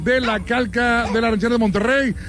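Un reportero de radio que transmite en vivo desde la calle, entusiasta y animando a los oyentes. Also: male voice, very high-pitched, steady tone, fairly quick, a bit bright, faint music behind. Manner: upbeat, hypes listeners and prizes. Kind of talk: live remote report.

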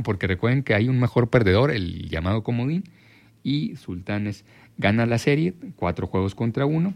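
Deep-voiced Mexican man recapping sports. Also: a little mellow. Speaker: radio sports show host. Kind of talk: sports news recap and commentary.

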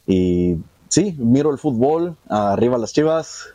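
A young man from California answers a reporter's questions in plain, unpolished speech. His voice is mellow and dark. Faint background noise runs underneath. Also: mid-range voice, big pitch swings, slow pace. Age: young adult. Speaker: bus driver. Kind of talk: street interview answers.

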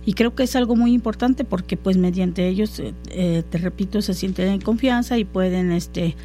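Hesitant woman, mellow voice. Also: mid-range voice, normal speed, faint music behind. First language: Totonac. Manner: hesitant, many fillers and restarts. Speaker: guest interviewee. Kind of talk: conversational interview.

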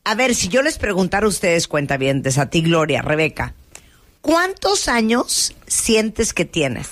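A woman in her thirties hosts a radio talk show with a slightly low voice. She chats casually and throws teasing questions at listeners. The sound is dry and close, with no room around her. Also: very animated, a little slow, no echo.

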